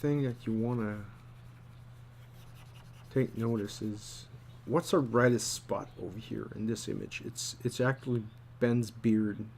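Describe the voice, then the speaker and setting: Slow, halting man giving a drawing tutorial. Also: medium pitch, fairly even intonation, vocal fry, a little mellow, slight background noise. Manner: halting, muttering to himself while working. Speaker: storyboard artist, tutor. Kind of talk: casual drawing tutorial, thinking aloud.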